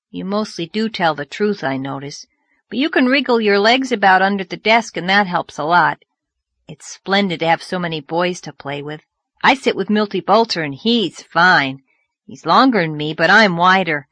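A woman's voice: mid-range voice, fairly bright tone, no echo, lightly acted. A woman voicing an earnest, chatty six-year-old boy with childish grammar, in a storybook reading. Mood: pleased, confiding. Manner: childish grammar and clipped words.

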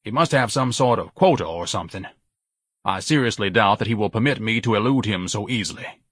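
A man's voice: on the low side, animated, a little fast, fairly bright tone, husky, no echo, clean studio sound. A man voicing a pompous, hypochondriac, very large grown son, grandiose and complaining, in an audiobook. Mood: haughty. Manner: grandiose, over-formal, complaining. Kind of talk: audiobook character voice.

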